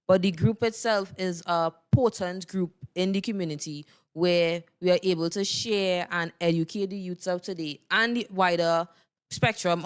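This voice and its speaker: A woman's voice: fairly low pitch, smooth. A woman with a Trinidadian accent giving earnest interview answers as a member of a performing group.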